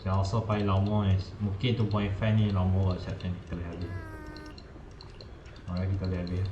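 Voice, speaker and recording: A low-pitched man talks casually during gameplay. The recording is dull and roomy, with clear noise behind him. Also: flat delivery, quick pace. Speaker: gaming streamer. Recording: dull, noisy, echoey room recording.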